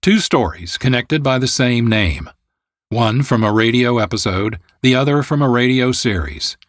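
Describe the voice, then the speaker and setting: A man hosting a radio program with a scripted, measured, formal introduction. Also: medium pitch, expressive, no room sound, clean studio sound. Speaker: producer and host.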